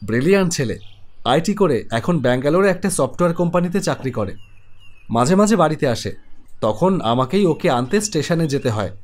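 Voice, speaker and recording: A young man narrating an animated horror story in the first person, conversational and reflective. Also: on the high side, fairly quick. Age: young adult. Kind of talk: scripted storytelling.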